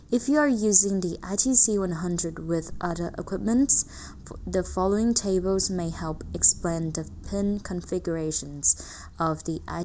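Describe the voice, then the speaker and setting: A woman's voice: lowish voice, darkish tone, a little hiss or hum, rough recording. A woman reading a scripted technical tutorial carefully, word by word. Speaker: tutorial narrator.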